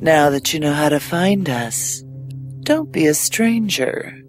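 A woman's voice: low-pitched, animated, takes it slowly, music in the background. A woman reading scripted closing credits as the announcer of an audio horror show.

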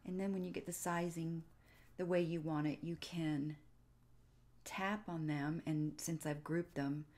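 A woman giving casual step-by-step narration for a software tutorial, pausing between short phrases. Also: sits low, steady tone. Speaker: tutorial host.

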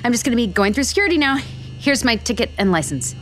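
An actress playing an overwhelmed, sarcastic, foul-mouthed author in an audio romantic comedy. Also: female voice, on the high side, sing-song, fast, fairly bright tone, soft background music. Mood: flustered. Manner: sarcastic, exasperated.

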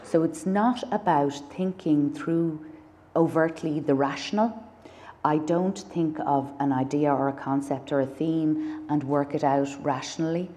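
A woman's voice: deep voice, a little slow, dark tone. An Irish woman in her thirties, an artist talking about her work in a public conversation, thoughtful with many fillers and restarts. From Ireland. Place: dark stage in a gallery lecture hall.

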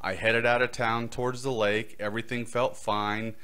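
A man's voice: medium pitch, flat delivery, normal speed, pushed and bright, clear, resonant tone. A middle-aged man hosting a podcast, telling a prepared personal story into a close microphone. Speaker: podcast host. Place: small studio set indoors. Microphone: close boom-arm microphone.

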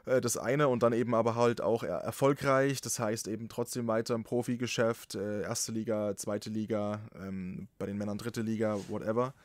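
A young man from Saxony hosting a podcast interview in a chatty, animated way, with many fillers and frequent laughs. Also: fairly low pitch, moderate pace, few pauses, no echo. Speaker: podcast host. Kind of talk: casual interview chat.

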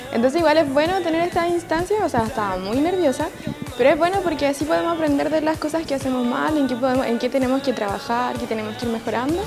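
A twenty-one-year-old Chilean woman talking in a rushed, self-aware way in an interview. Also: high voice. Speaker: pageant contestant. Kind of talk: casual interview. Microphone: handheld mic. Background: music.

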